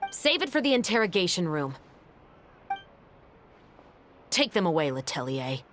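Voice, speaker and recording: A woman's voice: fairly low pitch, big pitch swings, a little fast, fairly bright tone, slight background noise. A woman playing a stern, world-weary police captain in an anime game, with a commanding, curt, dry manner. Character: stern, self-assured female police patrol captain, dryly world-weary.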